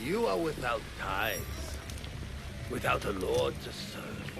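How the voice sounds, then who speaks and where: An actor playing a scornful, commanding feudal Japanese warlord in a video game, with a gravelly voice and theatrical delivery. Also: male voice, lowish voice, wildly swooping pitch, slow, very noisy, full character voice. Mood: stern contempt. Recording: loud music behind the voice.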